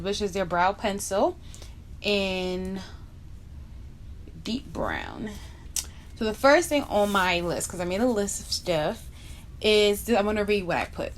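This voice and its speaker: A woman's voice: medium pitch, animated, a little slow, long pauses, slight background noise. A young woman from Barbados chatting bluntly and casually while doing her makeup for a vlog, dropping in slang asides. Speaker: beauty vlogger.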